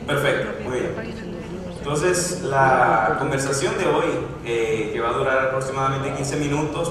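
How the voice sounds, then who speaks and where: A man giving a conference presentation, explaining at length with frequent hesitations and restarts. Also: mid-range voice. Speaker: conference speaker. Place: large conference room. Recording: echoey hall, distant mic, background noise.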